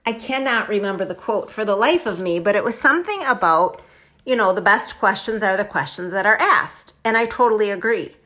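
A woman hosts her own podcast, talking in a casual, enthusiastic way. Her pitch is very animated and leaps around as she makes her points, and she keeps checking in with "right?". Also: brisk. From Wisconsin. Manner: chatty, enthusiastic, lots of fillers like "right?" and "like". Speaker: podcast host. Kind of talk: casual motivational talk.

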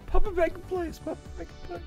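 A man's voice: very high voice, little pitch movement, fast, gravelly, darkish tone, faint music behind. A man co-hosting a casual livestream with his brother, making dry, teasing remarks. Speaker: co-host, brother of the host.

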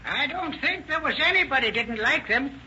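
An actor playing a talkative, well-meaning tenant with folksy, ungrammatical speech in an old radio drama. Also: male voice, very high voice, lively intonation, normal speed, bright, forceful tone, full character voice. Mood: earnest, chatty. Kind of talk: 1930s radio drama acting. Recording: dull, hissy old-time radio recording.

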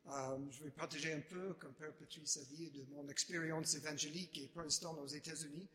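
A man from the United States with an American accent, speaking halting second-language French as a guest giving a testimony, restarting often and slipping in English words. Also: a little high, steady tone, pushed and bright. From United States (Chicago). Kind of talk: unscripted personal testimony.

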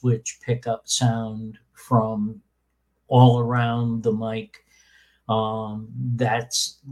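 Male host, baritone-tenor mix, slow and monotone, hesitant explainer. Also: rough recording. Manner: halting, many fillers and restarts. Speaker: podcast host. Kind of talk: casual explanatory talk. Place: small room with soundproofing under way.

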